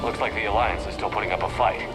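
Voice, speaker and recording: A man's voice: fairly low pitch, fairly even intonation, fast talker, over music, close to an everyday voice, rough recording. A man playing a determined military commander in the field in a cinematic video game. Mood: tense, determined.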